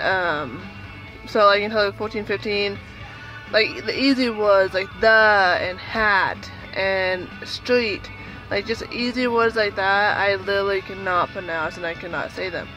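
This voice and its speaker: A woman's voice: medium pitch, a bit bright, rough recording. A young woman with a speech impediment telling a childhood story casually to camera, rambling with many fillers and restarts. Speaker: vlogger.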